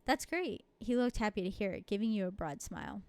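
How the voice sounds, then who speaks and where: A woman's voice: medium pitch, expressive, quick pace, gravelly, darkish tone, no room sound. Woman narrating a visual novel, dry close sound. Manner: giggly, informal asides. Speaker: video game streamer. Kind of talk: let's-play, reading visual novel narration aloud with casual asides.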